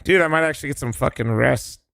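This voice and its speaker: A man's voice: medium pitch, quick pace, gravelly, a bit bright, no room sound, clean studio sound. A young man streaming a game, tired and losing his voice, swearing casually in a laid-back way. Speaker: streamer playing with teammates. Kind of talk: casual gaming stream chat.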